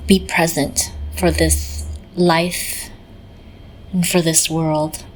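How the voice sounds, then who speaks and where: A woman guides a meditation in a gentle voice on the lower side. Her delivery is very even, with little rise or fall, and she leaves pauses inside her phrases. A light but steady background noise runs under the recording. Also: low-quality recording. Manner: gentle, unhurried, pausing mid-phrase. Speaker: meditation teacher.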